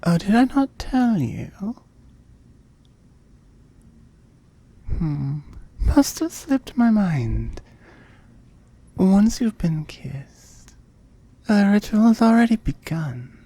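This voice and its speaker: A woman's voice: mid-range voice, big pitch swings, slow, warm tone, breathy edge, exaggerated voice. A woman playing a seductive, possessive immortal oni demon in an ASMR roleplay, often whispering, teasing and commanding. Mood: smug, possessive.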